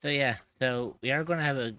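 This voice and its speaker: A man's voice: medium pitch, a little fast, pushed and bright. A man hosting a live stream, chatting casually and rambling, laughing often between thoughts. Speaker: streamer hosting a voice-acting session.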